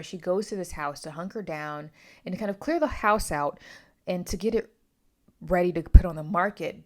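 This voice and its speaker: A woman talking casually through a book review, opinionated and emphatic, often wandering into asides. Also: on the low side.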